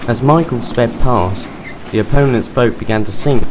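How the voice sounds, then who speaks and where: A man's voice: medium pitch, normal speed, background noise. A man delivering scripted storybook narration as the narrator of an audio drama.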